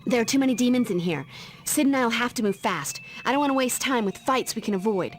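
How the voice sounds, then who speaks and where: A young American actress playing a determined demon slayer in a video game, dry and matter-of-fact. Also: female voice, a little high, lively intonation, fast talker, a little hiss or hum, natural voice. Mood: brisk, commanding. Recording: music behind.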